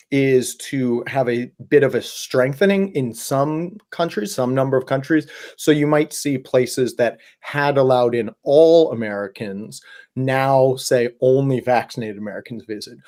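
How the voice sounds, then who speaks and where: Casual male livestream host, slightly hoarse, talkative and rambly, mid-range pitch. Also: a little mellow. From Southwest Ohio. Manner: chatty, rambling with many fillers and restarts.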